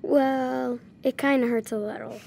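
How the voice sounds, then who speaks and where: A woman voicing an imaginative ten-year-old boy who talks slowly and sincerely. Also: sits high, steady tone, creaky, dark tone, a little hiss or hum. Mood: earnest. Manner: slow, hesitant, sincere.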